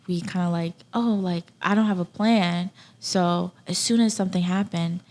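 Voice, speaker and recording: A woman's voice: lowish voice, fairly even intonation, moderate pace, darkish tone. A woman from Haiti speaking casually and earnestly as an interview guest, repeating key phrases for emphasis. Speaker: podcast guest.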